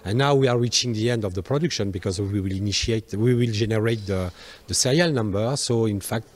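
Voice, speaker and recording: A man gives an explanatory factory demonstration into a handheld mic, haltingly, searching for words. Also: lowish voice. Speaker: factory guide. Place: large factory workshop, indoors. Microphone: handheld microphone. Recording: music behind the voice.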